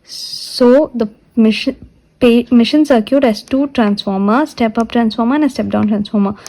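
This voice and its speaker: Woman lecturer, fairly high voice but soft-edged and mellow, explaining technical material step by step with deliberate repetition. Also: low-quality recording. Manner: repeats and restates points deliberately. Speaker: physiotherapy lecturer.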